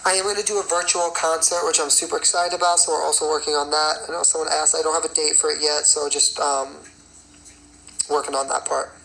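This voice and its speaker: A raspy young man, a singer and choreographer, chatting casually on a phone livestream and rambling with many fillers. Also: a little high, level tone, brisk, bright, forceful tone, a little hiss or hum, rough recording. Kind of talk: casual livestream chat answering comments.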